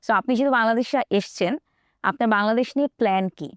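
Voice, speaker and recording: A woman hosting an interview, mixing two languages as she asks quick questions. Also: fairly high pitch, clean tone, no echo, clean studio sound. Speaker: show host.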